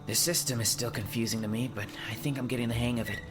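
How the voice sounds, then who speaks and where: A man's voice: medium pitch, fairly even intonation, fast, over loud music, natural voice. A man voicing a bewildered, doubtful male sidekick in an audiobook, with a questioning, incredulous manner. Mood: cooperative. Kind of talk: audiobook character acting.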